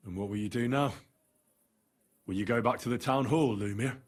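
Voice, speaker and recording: An actor playing a calm, inquisitive young medieval man in a video game, with a husky voice and conversational delivery. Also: mid-range voice, moderate pace, close to an everyday voice. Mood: thoughtful. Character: level-headed, inquisitive young medieval adventurer. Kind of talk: video game voice acting, dramatic dialogue.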